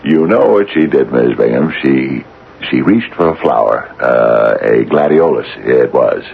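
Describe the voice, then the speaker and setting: An older widower in a radio drama, with a very deep, creaky voice. He is nervous and a bit eccentric, stammering and doubling back on his words. The old radio recording is dull and noisy. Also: lively intonation, measured pace, heavily acted. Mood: eager. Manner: hesitant, stammering, repeating himself. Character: hesitant, nervous retired widower near sixty, mildly eccentric.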